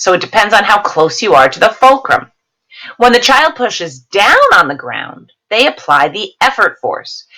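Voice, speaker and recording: A woman's voice: lowish voice, a bit bright, low-quality recording. A woman teacher reading a science lesson aloud, explaining it to students and checking in with questions.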